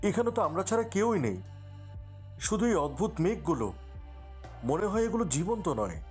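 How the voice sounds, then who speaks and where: A man playing an earnest, protective young adventurer in an animated fairy tale. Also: high-pitched, moderate pace, faint music behind. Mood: reassuring, wary.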